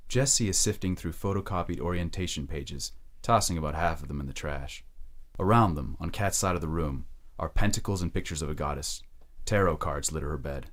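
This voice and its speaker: A man narrating an audio drama in a scripted, present-tense storytelling style. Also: very deep voice.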